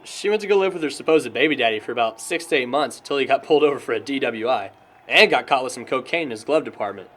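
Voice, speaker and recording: Man acting a lively, wry young American guy. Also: medium pitch, fast. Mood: gossipy. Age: young adult. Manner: wry, chatty, sarcastic. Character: wry, gossipy young man defending and teasing friends. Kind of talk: conversational audio drama acting.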